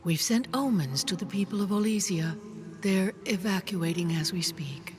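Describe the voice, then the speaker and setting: An actress playing a solemn, benevolent otherworldly guardian in a fantasy video game, speaking in a measured way. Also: female voice, medium pitch, over music, natural voice. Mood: solemn blessing. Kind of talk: video game cutscene acting.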